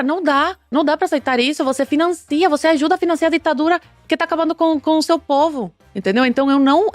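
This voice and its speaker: A woman's voice: on the high side, no echo. A woman from Cuba speaking emphatically and giving firm opinions as a podcast guest. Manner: emphatic, outspoken. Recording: background music.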